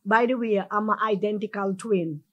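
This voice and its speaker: A woman's voice: mid-range voice, normal speed, dull audio. An older woman from Jamaica with a Jamaican accent, a guest telling her life story in a group chat and laughing as she goes. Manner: lively, laughs as she tells it.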